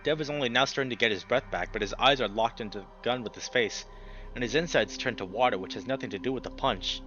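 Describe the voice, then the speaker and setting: A man narrating a visual novel aloud as a let's player, dramatic and expressive, swearing freely in casual reactions. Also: mid-range voice, fast talker, highs cut off. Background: music.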